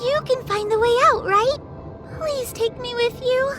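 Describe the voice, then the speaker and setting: A woman's voice: extremely high pitch, measured pace. A woman voicing a timid, tearful young girl in a video game, whiny and pleading. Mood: frightened. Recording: loud music behind the voice.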